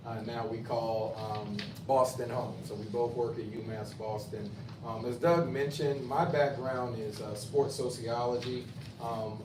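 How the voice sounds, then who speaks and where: A male professor with a North Carolina accent, speaking in a relaxed, conversational way to a bookstore audience. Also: mid-range voice. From North Carolina, USA. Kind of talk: bookstore author talk. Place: bookstore event room. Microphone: no mic, distant pickup. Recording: roomy, far-off mic, ambient noise.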